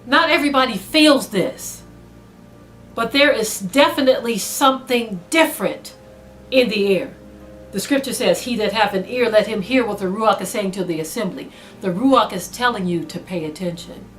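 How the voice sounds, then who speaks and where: A woman preaching a sermon to an online audience, earnest and exhorting, slowing down to stress her points. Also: mid-range voice, fairly bright tone, faint music behind, poor audio. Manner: earnest, exhorting, slow and emphatic with rising intensity. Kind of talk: spoken sermon to a video audience.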